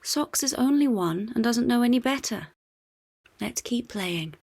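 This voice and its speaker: Casting: woman as cartoon dog father, patient and playful, gentle explainer, unhurried lively delivery. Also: a little high, vocal fry, slightly rough, no room sound, pitched above the performer's own voice, heavily acted. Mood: reasoning.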